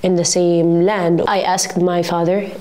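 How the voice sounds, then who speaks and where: Earnest woman, lower voice. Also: little pitch movement, a little slow, mellow. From Gaza, Palestine. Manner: earnest, frequent "like" fillers. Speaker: student. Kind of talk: interview answers.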